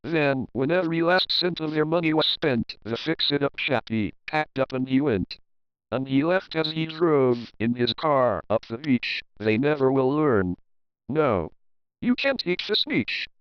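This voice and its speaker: A man reading a rhyming picture book aloud in an expressive, theatrical storytelling manner. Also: mid-range voice, a little slow, clean tone, dry recording, muffled recording. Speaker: children's story reader, host. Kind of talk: rhymed picture-book narration.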